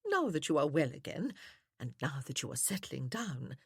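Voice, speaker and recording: A woman's voice: low voice, very animated, normal speed, vocal fry, husky, no room sound, studio quality, heavily acted. A woman voicing an amiable, comfortable older mother, a genteel titled lady in a period romance audiobook. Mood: polite goodwill. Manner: genteel, polite.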